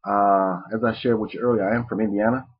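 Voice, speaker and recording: Man, webinar presenter; low, husky, dull lo-fi sound, folksy and rambling. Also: steady tone, fast, soft background music. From Mississippi. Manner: rambling, many fillers and restarts, folksy. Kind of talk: live webinar teaching. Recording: muffled lo-fi webinar audio.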